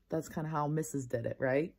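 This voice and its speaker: A woman with a husky, slightly low voice reads a children's book aloud. She talks casually and encouragingly to her young listeners, like a teacher, and tells the story with expression.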